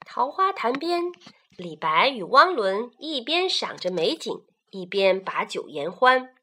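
Bright-voiced woman telling stories to children. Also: fairly high pitch. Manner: slow, expressive storytelling for children. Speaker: narrator. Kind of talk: children's story narration.

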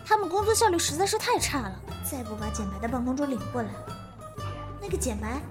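A woman's voice: high-pitched, lively intonation, fast, over music. A woman acting a touchy, loyal young office assistant in an audio drama, blunt and defensive. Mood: agitated, defensive.

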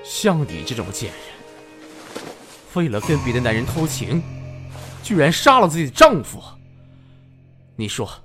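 A man's voice: medium pitch, very animated, a little slow, pauses often, fairly bright tone, soft background music. A man playing a menacing, bitter, deranged killer in an audio drama, accusing and full of contempt. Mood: venomous rage.

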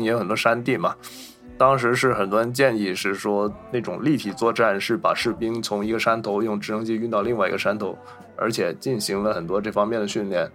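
A man's voice: on the low side, a bit bright. A man co-hosting a casual discussion of film and history, explaining things and chiming in with agreement. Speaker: podcast host.

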